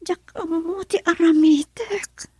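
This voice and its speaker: A woman acting a troubled, secretive young woman in a radio drama, breathy and hesitant. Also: extremely high pitch, steady tone, unhurried.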